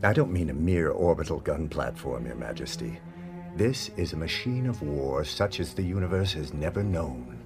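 A man's voice: very deep voice, animated, normal speed. An actor playing an arrogant, ambitious imperial nobleman in a space-opera radio drama, speaking in a pompous, formal, theatrical way. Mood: grandiose. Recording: music behind the voice.

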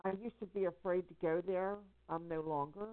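A woman speaking as a caller on a phone conference, giving impassioned personal testimony in a halting, emphatic way, repeating phrases for weight. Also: on the low side, fairly even intonation. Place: telephone conference call. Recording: narrow tinny phone-line audio.

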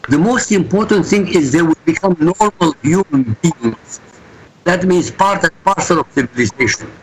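A man's voice: fairly high pitch, lively intonation, moderate pace, breathy edge, low-quality recording. A man from Iraq, a guest answering interview questions in an emphatic, insistent way. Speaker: guest commentator.

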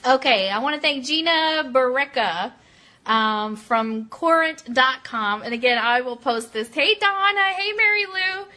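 Bright, high-voiced, giggly woman host. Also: measured pace. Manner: giggly, excitable, informal. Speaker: host of an Elvis fan radio show. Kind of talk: casual live show chat and playful read-aloud.

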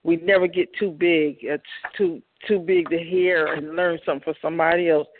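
A woman's voice: on the low side, fairly even intonation, brisk, a little hiss or hum. A woman, a prophetess, giving a short exhortation and filling her phrases with "praise God" and "hallelujah". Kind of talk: exhortation by phone. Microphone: phone. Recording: tinny phone line.

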